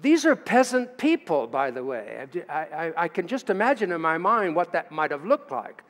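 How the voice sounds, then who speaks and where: Older pastor preaching in reverberant church. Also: male voice, fairly high pitch, sing-song, moderate pace, a bit bright. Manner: storytelling, reading scripture aloud with asides. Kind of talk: sermon. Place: church sanctuary at a lectern. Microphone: lectern mic. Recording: church livestream with long reverb.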